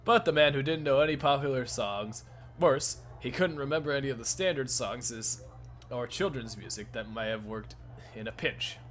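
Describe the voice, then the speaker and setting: A man's voice: mid-range voice, moderate pace, a bit bright. Man reading a story aloud over music. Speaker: narrator in a fan reading of a visual novel. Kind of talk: cold-read story narration with stumbles and asides.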